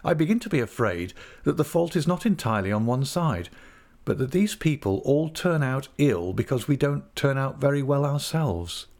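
A man playing an earnest young husband in an audiobook, gently coaxing and lecturing with grave reason. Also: medium pitch, very animated, moderate pace, pitched above the performer's own voice. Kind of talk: audiobook character voice.

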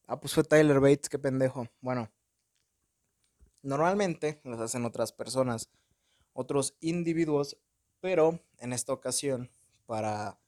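A man's voice: medium pitch, no room sound, clean studio sound. Slangy Mexican guy reviewing a movie. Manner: slangy and profane, constant filler words. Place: room at home in front of a window.

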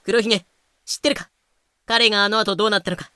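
A woman's voice: mid-range voice, steady tone, fast talker, sharp tone, smooth, no echo, studio quality, lightly acted. A woman playing an aggrieved, earnest colonial official in a fan-made audio drama. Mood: earnest, explaining.

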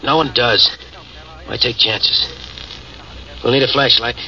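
A battered, weakened ex-con who answers in quiet, terse, guarded words in an old radio crime drama. He sounds distant and pained. A loud hiss runs under the dull old recording. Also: mid-range voice, steady tone, takes it slowly. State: weak and in pain. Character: battered male ex-con Steve, weak, cunning. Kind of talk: 1940s radio acting.

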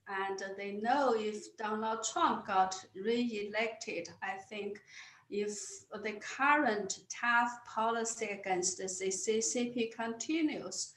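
A woman's voice: fairly high pitch, fairly bright tone, echoey room, rough recording. A woman from China with a Chinese accent, answering interview questions earnestly, often restarting and repeating words. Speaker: guest.

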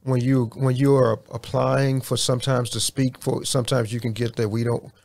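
A man from Houston, Texas, guesting on a podcast and telling about his work in a hesitant way, with frequent pauses and restarts. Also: mid-range voice, little pitch movement, vocal fry, darkish tone. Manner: hesitant, many fillers and restarts. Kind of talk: interview answers.

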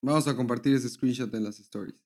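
A man's voice: medium pitch, a little fast, darkish tone. A Mexican man co-hosting a podcast, chatting casually in a laid-back way with short, agreeable replies. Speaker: podcast co-host. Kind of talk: casual chat.

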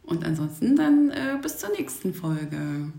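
A woman's voice: deep voice, big pitch swings, unhurried, gravelly, dark tone. Chatty woman podcasting in an echoey room. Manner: chatty, laughs easily. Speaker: podcast co-host. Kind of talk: casual chat. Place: indoor room at a community workshop. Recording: roomy, echoing sound.

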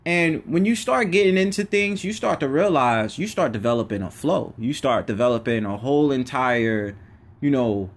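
A man's voice: medium pitch, lively intonation, moderate pace. A man hosting his own podcast, giving a casual, preachy motivational monologue in everyday slang. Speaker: podcast host.

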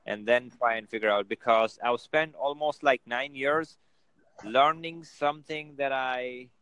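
A man's voice: mid-range voice, fairly even intonation, unhurried, fairly bright tone, smooth, no room sound, low-quality recording. A man giving casual motivational advice on a video call, filling his talk with "you know" and "right?". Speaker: guest.

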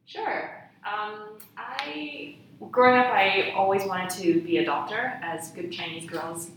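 A woman's voice: medium pitch, slow, fairly bright tone. A young adult woman from Hong Kong and Ghana, a youth charity founder, telling her story candidly and reflectively as an interview guest. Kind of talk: casual interview. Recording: echoey room, far from mic, faint noise.

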